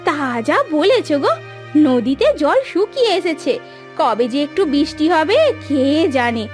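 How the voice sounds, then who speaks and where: Cartoon village housemaid, played by a woman, very high pitch, plaintive, weary, lamenting, music behind. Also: big pitch swings. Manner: plaintive, complaining, drawn-out lamenting. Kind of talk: cartoon moral story acting. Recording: dry studio voice over background music.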